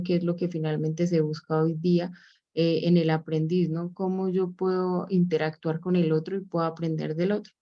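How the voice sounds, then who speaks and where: Woman, lecture-style presentation, mellow and warm tone, measured delivery with frequent fillers, dull lo-fi sound. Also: on the low side. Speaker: presenter of an education talk. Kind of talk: explanatory academic presentation. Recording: dull lo-fi recording.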